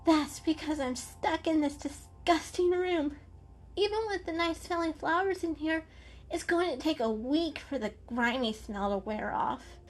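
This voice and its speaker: Woman voicing an anxious, high-pitched little girl cat. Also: a little slow, soft background music. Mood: whiny, indignant. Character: small dainty female house cat, anxious, fussy, plaintive. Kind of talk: dramatic reading of animal storybook.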